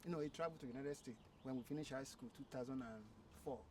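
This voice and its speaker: A man, telling his story as an interview guest, halting and repeating words. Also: mid-range voice, mellow. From Nigeria. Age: 30s. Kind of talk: casual conversation. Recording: distant mic with clear background noise.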